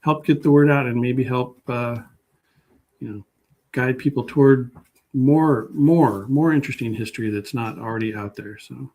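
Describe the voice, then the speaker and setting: A man speaking as a guest historian in a casual interview, halting and modest as he thinks aloud. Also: mid-range voice, highs cut off.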